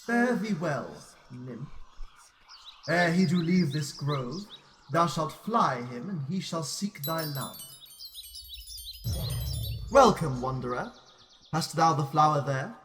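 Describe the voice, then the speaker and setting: Fairy king, male actor: commanding schemer, slow theatrical verse, slightly high voice. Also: animated, fairly bright tone, soft background music. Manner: deliberate, incantatory, theatrical. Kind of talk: classical Shakespearean verse acting.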